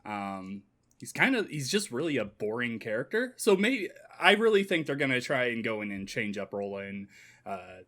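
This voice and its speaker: A man's voice: mid-range voice, big pitch swings, normal speed, a bit bright. A man co-hosting a podcast, chatting informally and explaining things as he goes. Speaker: podcast co-host. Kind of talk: casual chat.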